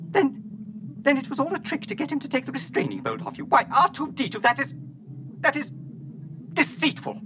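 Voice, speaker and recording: An actor playing an anxious, servile protocol droid in a radio drama, with prim, clipped diction and a formal, fretful, scolding manner. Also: male voice, extremely high pitch. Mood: indignant, anxious.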